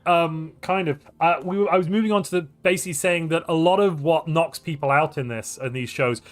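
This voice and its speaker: A man's voice: on the high side, fairly quick. Chatty man riffing on a stream. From North Wales. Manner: animated, rambling, jokey and self-mocking. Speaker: streamer and tournament caster. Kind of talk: casual chat on a live stream.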